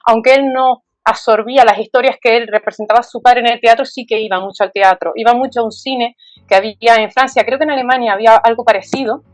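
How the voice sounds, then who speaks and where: A woman's voice: a little high, fairly even intonation, fast talker, no echo. A woman guest talking about film, thoughtful and full of fillers and tag questions. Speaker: guest, art historian. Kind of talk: conversational film talk.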